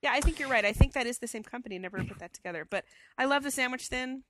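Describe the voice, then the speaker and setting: A woman's voice: high voice, very fast, a bit bright. A woman co-hosting a casual chat show, with a stuffy head cold and a chatty, enthusiastic way of talking. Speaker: podcast co-host.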